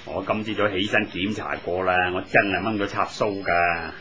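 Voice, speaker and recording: A man's voice: low voice, normal speed, lo-fi sound, a little hiss or hum. An actor playing a weary, grumbling, exasperated working man in a 1980s Hong Kong Cantonese radio drama. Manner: grumbling, sighing, excitable.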